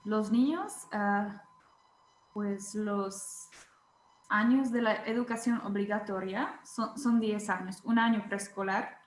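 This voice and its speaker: A young woman with a Czech accent, a teacher and blogger answering interview questions in a hesitant way, often pausing to search for words. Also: medium pitch, steady tone, echoey room, a little hiss or hum, poor audio. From Czech Republic. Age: young adult.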